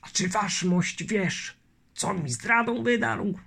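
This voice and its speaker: A man's voice: high-pitched, slow, creaky, sharp tone, breathy edge, voice pushed up, exaggerated voice. A man voicing a frightened old colonel. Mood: alarmed. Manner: anxious, pleading, crying to Christ. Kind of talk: audiobook character voice.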